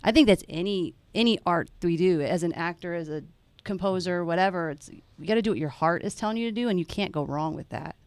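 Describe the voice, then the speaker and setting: A woman director speaks with emphatic conviction, tossing off quick asides as she explains her craft. Her voice sits on the low side, recorded close and completely dry. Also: no room sound. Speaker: film director. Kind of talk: conversational advice to teens.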